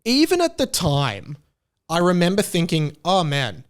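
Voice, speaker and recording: A man's voice: sits high, wildly swooping pitch, a little slow, clean tone, faint music behind. A young man with an Australian accent hosting a podcast, talking in a chatty, jokey, opinionated way. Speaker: podcast host. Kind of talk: casual podcast monologue.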